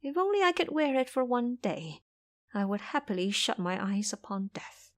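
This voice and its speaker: Woman acting plaintive, greedy ancient monk, swooping pitch. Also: big pitch swings, normal speed. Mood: wailing, wistful. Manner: plaintive, self-pitying. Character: very old greedy wailing male grandmaster monk.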